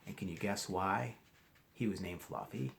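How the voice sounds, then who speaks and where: A man doing an expressive read-aloud for children, chatting to his young listeners in asides and asking them questions directly. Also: low-pitched, normal speed, vocal fry, a little hiss or hum. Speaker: children's storybook reader, teacher.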